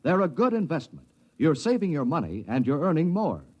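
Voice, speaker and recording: Old radio ad guy, emphatic sales pitch, higher voice, husky, dull recording. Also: sing-song, fairly quick, a little mellow. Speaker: advertising announcer. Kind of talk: 1950s radio commercial.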